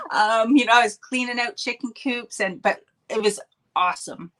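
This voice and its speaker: A middle-aged woman with a Canadian accent, chatting as a podcast guest in an upbeat, laughing way. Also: on the high side. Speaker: podcast guest, healer and mentor. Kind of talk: casual interview chat.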